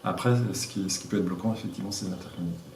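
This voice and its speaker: A man answering interview questions as a village mayor, speaking informally and haltingly as he searches for words. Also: low-pitched, fairly even intonation. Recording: echoey room, distant mic, faint noise.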